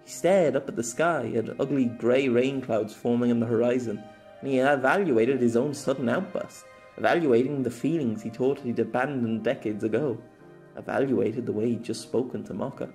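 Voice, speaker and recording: A man narrates a scripted story in the manner of an audiobook. His voice sits at a medium pitch. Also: moderate pace, a little mellow, faint music behind.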